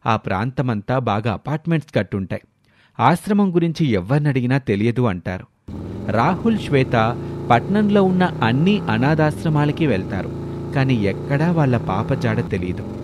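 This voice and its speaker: A man's voice: medium pitch, noisy background. A man narrating a scripted moral story as a storyteller.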